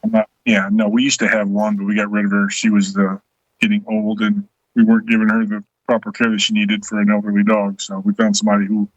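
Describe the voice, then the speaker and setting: Middle-aged guy on a podcast, lowish voice, casual, dull dry sound. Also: monotone, fast, dry recording. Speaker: podcast guest. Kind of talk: casual chat. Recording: dull lo-fi voice connection.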